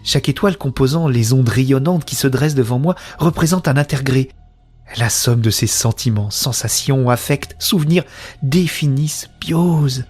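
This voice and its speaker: A man's voice: mid-range voice, normal speed, darkish tone, husky, soft background music, natural voice. A man playing a wonderstruck woman who narrates a science-fiction audio drama in the first person, in earnest short phrases. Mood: awed, exhilarated. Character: wonderstruck woman newly linked to a shared consciousness.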